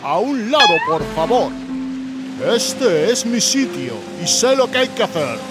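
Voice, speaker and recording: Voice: medium pitch, sing-song, unhurried. An actor voicing a big, friendly, plain-spoken polar bear in a children's cartoon. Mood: matter-of-fact. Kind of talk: animated children's cartoon dubbing. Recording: music behind the voice.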